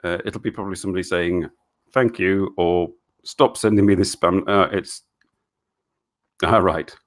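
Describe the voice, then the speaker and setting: A middle-aged man with a deep, low voice. He chats loosely on a live stream, reading out viewer questions and answering them in halting bursts, with stumbling restarts and frequent chuckles. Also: fairly even intonation. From England.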